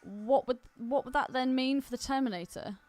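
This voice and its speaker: A woman's voice: high-pitched, normal speed, no room sound. A woman co-hosting a podcast in casual film discussion, speaking in an opinionated, argumentative manner. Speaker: podcast co-host.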